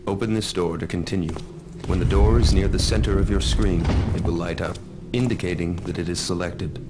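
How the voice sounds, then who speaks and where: An actor playing a composed, stern mentor who gives measured, formal instructions in a video game tutorial. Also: male voice, low voice, fairly even intonation, lightly acted. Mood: calm, instructive. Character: stern, composed male mentor training a young thief. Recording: game audio with noisy background.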